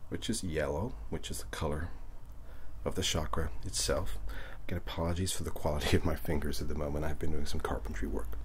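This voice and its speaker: A man with an extremely low voice murmurs softly and closely, as in an ASMR session. His delivery is gentle, unhurried and breathy, often dropping into a whisper, with a little ambient noise behind him. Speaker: ASMR reiki session host. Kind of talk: ASMR guided relaxation, speaking softly to the listener.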